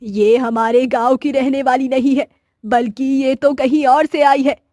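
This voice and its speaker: A woman voicing a secretive old village woman in a cartoon story, in a measured, guarded way. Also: high voice, fairly even intonation, dark tone, dry recording, clean studio sound. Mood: firm. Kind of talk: Hindi cartoon story voice acting.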